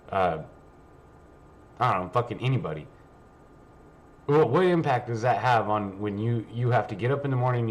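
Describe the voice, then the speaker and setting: A man hosting a livestream, rambling casually and reading news aloud in a blunt, sweary, offhand way. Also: fairly low pitch. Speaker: livestream host.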